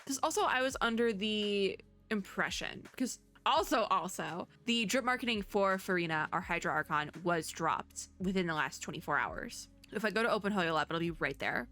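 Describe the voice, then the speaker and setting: A young adult woman with a bright, animated voice that moves a lot in pitch. She gives casual, chatty reaction commentary and thinks aloud, with music in the background. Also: normal speed. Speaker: VTuber reacting to game trailers.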